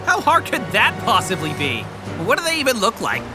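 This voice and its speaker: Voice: mid-range voice, huge pitch swings, a little fast. An actor voicing a boastful, reckless young hero in a comedic cartoon parody, full of cocky confidence. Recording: loud music behind the voice.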